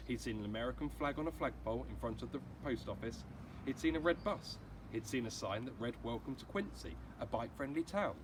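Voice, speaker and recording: A man's voice: mid-range voice, brisk. A man reading a children's storybook aloud like a teacher, acting out the dialogue expressively. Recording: clearly noisy amateur recording.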